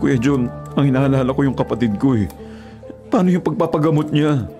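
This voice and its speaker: A man's voice: mid-range voice, fairly quick, dark tone, natural voice. A man acting a young delivery rider in a radio drama, desperate and insistently pleading his innocence. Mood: anguished. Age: young adult. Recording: music bed under the voice.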